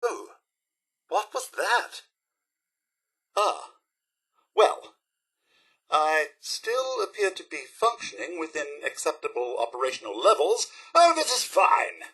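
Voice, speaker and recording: A man playing a polite, fussy robot butler in a video game, with a British accent, a raspy voice and prim, theatrical, upbeat manners. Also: a little high, very animated, takes it slowly, pauses often, bright, forceful tone, heavily acted. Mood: flustered, stoic optimism. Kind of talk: video game character acting.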